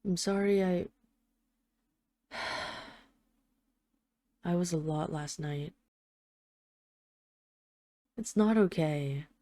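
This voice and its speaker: Low, mellow lovesick mafia boss woman, acted. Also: natural voice. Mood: contrite. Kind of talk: intimate audio roleplay, one side of a phone call.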